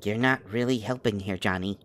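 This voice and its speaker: A man playing an exasperated, wheedling fast-talker trying to plead his case in an audio drama. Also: fairly low pitch, fairly bright tone, no echo, close to an everyday voice. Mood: exasperated, explaining.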